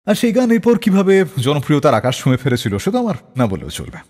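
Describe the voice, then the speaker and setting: A husky-voiced man hosts a radio show, telling tales theatrically. His pitch swings widely as he builds suspense, and long reverb trails behind his words. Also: wildly swooping pitch, fast talker, a little mellow. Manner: theatrical, suspenseful delivery, acting out quoted lines. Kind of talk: dramatic radio storytelling between songs.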